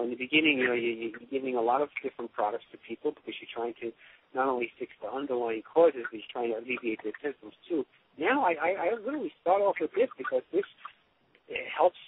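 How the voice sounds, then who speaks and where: A man speaking as a doctor giving an informal testimonial on a conference call, rambling and often restarting his phrases. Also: mid-range voice. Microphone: telephone. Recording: tinny phone line with clear noise.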